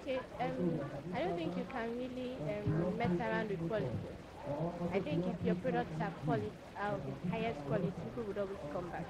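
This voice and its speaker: A woman's voice: medium pitch, lively intonation, fairly quick, low-quality recording. A young woman with a Nigerian accent giving interview answers as a beauty brand representative. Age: young adult. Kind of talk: interview answers, product pitch. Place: outdoor market fair. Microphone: handheld interview microphone. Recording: loud outdoor crowd and traffic.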